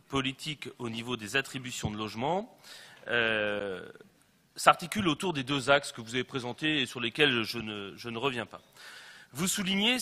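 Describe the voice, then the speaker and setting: A man giving a formal council speech as an elected official, in a halting, hesitant way. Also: mid-range voice, pushed and bright. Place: large council chamber. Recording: echoey council hall with long reverb.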